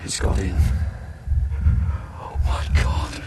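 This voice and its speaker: An actor playing a shaken stubborn inspector. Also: male voice, slow pace, very noisy, lightly acted. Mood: rattled. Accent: British. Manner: tense, clipped and guarded. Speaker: police inspector. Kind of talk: radio drama acting. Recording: music under the dialogue.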